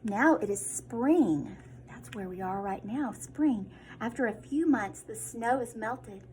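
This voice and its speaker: A woman reads a children's picture book aloud like a teacher, with dramatic emphasis and hushed asides. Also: a little high, animated, slow pace, a little hiss or hum, rough recording. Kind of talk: expressive read-aloud of a children's story.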